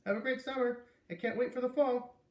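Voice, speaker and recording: A man voicing an excited, affectionate young schoolgirl in a children's storybook read-aloud. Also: very high-pitched, brisk, echoey room, dull audio, voice pushed up. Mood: eager, affectionate.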